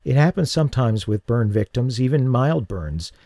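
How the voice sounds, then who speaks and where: A man voicing a young woman left blinded and burned, speaking softly with a strained, halting manner in an audiobook. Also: medium pitch, lively intonation, no echo, studio quality, close to an everyday voice. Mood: shaken. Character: injured young woman, blinded, fragile and shaken.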